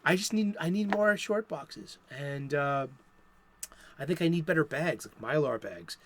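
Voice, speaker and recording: Rambling Boston-accented man, bright pitch. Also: animated, unhurried, slight background noise. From Boston area, USA. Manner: rambling, many restarts and fillers. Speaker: comic book show host. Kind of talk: casual solo talk. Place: home studio.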